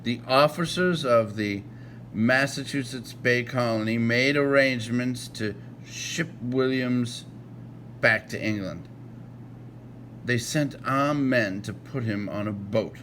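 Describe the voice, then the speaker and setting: An American man reading aloud informally like a read-along host, halting at times and correcting himself as he goes. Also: mid-range voice, a bit bright, a little hiss or hum, poor audio.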